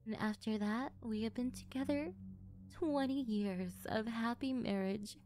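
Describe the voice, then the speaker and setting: A woman playing a sweet, doting middle-aged mother who is a calmly menacing kidnapper, speaking in a sugary, unhurried ASMR roleplay style. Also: a little high, close to an everyday voice. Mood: calm, confessional. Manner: sugary, unhurried, calm about disturbing things.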